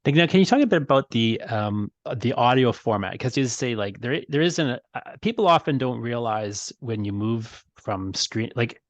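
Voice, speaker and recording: A man hosting a casual interview, talking in a halting way and circling around his questions. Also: mid-range voice, animated, no room sound, lo-fi sound. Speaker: podcast host, interviewer.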